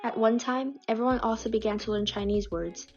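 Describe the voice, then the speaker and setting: A woman reading out submitted personal stories in a calm, measured way. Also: a little high. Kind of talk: scripted reading. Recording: dull lo-fi audio with faint music.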